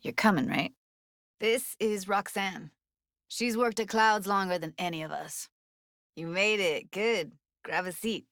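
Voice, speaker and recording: A woman's voice: mid-range voice, unhurried, fairly bright tone, no echo, clean studio sound. An actress playing a tough, sardonic, emotionally raw young woman techie in a video game, talking in a blunt, slangy, streetwise way. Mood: soft, caring. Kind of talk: naturalistic video game voice acting.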